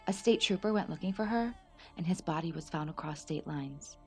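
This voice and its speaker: A woman acting in a radio play as a concerned, steady federal agent, questioning and reassuring. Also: deep voice, brisk, soft background music. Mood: serious, confiding.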